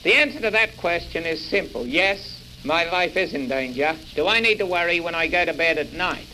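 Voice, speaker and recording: A man speaks as an emphatic orator. His voice is high and very sharp, with faint noise on the recording. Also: animated, moderate pace, rough recording. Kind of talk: impassioned rhetorical public speech.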